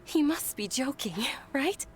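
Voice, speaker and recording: Gentle young lady, fairly high voice, timid, polite, hesitant, video game dialogue, light noise. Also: expressive, unhurried. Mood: nervous. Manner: hesitant, stammering.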